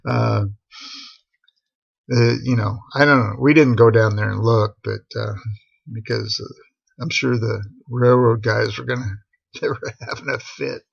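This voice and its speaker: Gravelly middle-aged man spinning a yarn. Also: mid-range voice, fairly even intonation, a little slow, long pauses, dry recording. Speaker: storyteller on a live stream. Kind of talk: casual rambling personal anecdote. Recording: dull, boxy lo-fi live stream audio.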